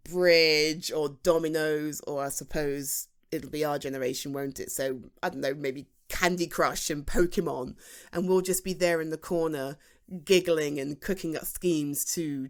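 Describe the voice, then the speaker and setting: A British woman playing a playful, affectionate best friend in an intimate audio roleplay, speaking in a halting, giggly, conversational way. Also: low-pitched, close to an everyday voice. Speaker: ASMR roleplay voice performer. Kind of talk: intimate, naturalistic audio roleplay addressed to the listener.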